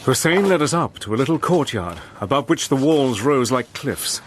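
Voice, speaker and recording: An actor narrating and playing a brave, level-headed British army major, telling the story in a crisp, steady way. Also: male voice, medium pitch, normal speed, a little hiss or hum, lightly acted. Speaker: narrator and hero. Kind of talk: radio play narration and acting.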